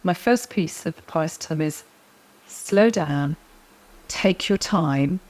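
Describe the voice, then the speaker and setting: A middle-aged woman, a guest in an interview, speaking in a quiet, measured way as she gives advice. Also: deep voice, poor audio. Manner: soft-spoken, measured, advice-giving. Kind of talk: interview conversation.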